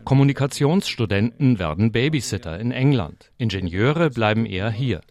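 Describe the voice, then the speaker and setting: A man reading translated interview answers as a voice-over, in a plain, steady way. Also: mid-range voice, moderate pace, lo-fi sound.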